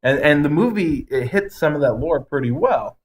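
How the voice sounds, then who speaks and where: A man's voice: fairly high pitch, animated, normal speed, slight background noise. A man co-hosting a podcast, giving blunt, emphatic opinions in casual chat with some swearing. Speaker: podcast co-host. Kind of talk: casual ranting movie review chat.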